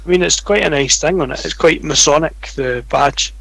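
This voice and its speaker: A sweary, sardonic Scottish man on a podcast, with a voice pitched a bit higher than most men's. The sound is close and dry. He is blunt and cuts in with dry jokes. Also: moderate pace, creaky, a bit bright, slightly rough, no echo. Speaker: podcast co-host. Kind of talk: casual chat.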